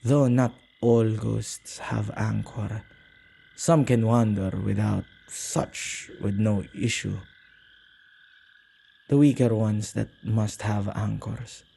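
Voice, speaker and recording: Male witch, played by a man for ASMR roleplay: dark, husky, intimate, possessive but soft-hearted, old-fashioned wording. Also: fairly even intonation, full character voice. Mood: patient, explaining. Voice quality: low, husky, creaky voice. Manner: halting, gentle, slightly archaic phrasing.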